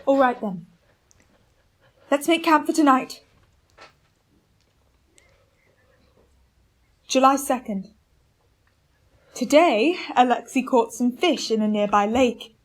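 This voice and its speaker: Woman as polar expedition captain, high voice, commanding, measured log-keeping, radio drama. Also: expressive. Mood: measured, firm. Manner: firm, authoritative. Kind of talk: radio play acting and log narration.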